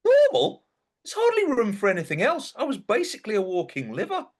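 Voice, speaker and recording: A man's voice: very high-pitched, wildly swooping pitch, fairly quick, exaggerated voice. An actor playing an indignant, sardonic dead young man who narrates a comic stage monologue in a wry, theatrical way.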